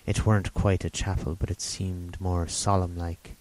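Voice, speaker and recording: A man voicing a half-wild marooned old sailor who rambles slyly in rough sailor grammar, in an audiobook reading. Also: deep voice, little pitch movement, normal speed, a little mellow, lightly acted. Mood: rambling. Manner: rambling, sly, confiding, with rustic sailor grammar. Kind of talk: audiobook character voice.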